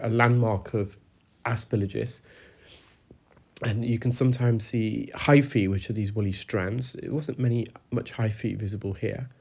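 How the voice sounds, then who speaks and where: A man's voice: mid-range voice, a little mellow. A British man working as an audiologist, narrating a clinical demonstration with a stuffy, congested voice from a cold and frequent hesitant fillers. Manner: explanatory, hesitant with many fillers.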